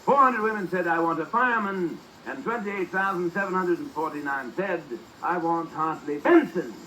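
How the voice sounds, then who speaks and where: A man's voice: sits high, moderate pace, bright, forceful tone. An actor playing a vain, boastful ladies' man with a pompous, florid manner in old radio comedy style. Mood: smug boasting. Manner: pompous, self-admiring, florid. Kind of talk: 1940s radio comedy acting. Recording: noisy old radio recording.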